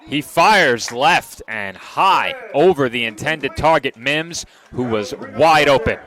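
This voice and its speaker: Bright-voiced play-by-play football announcer. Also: male voice, medium pitch, expressive. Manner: brisk, precise, lightly wry.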